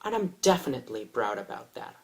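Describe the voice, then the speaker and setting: A man from Israel giving an impassioned statement to camera, speaking in short, emphatic phrases with deliberate pauses. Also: medium pitch, big pitch swings. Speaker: video commentator.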